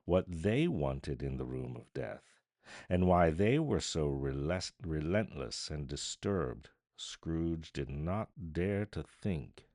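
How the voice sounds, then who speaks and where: A man narrating literature as an audiobook reader, theatrical, deliberate and solemn. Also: very deep voice, expressive, a little mellow, no room sound, studio quality.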